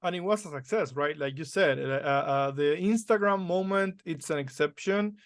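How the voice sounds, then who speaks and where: A man's voice: on the high side, moderate pace, dry recording. A man. His phrasing is careful and hesitant, broken up by "uh" and "um." The sound is dry and close to the mic. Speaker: podcast host. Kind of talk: interview questions.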